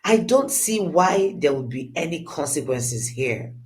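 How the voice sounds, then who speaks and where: Narrator as a skeptical young son, uneasy, protesting, animated intonation, slow pace, dramatic storytelling. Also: medium pitch, lightly acted. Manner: protesting, questioning.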